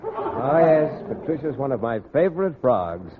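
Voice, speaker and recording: A man's voice: mid-range voice, big pitch swings, unhurried. A man playing a shy, earnest biology teacher in a vintage radio sitcom, speaking hesitantly with stammers. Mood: mild, puzzled. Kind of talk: 1940s-50s radio sitcom acting. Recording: very noisy, tinny vintage radio recording.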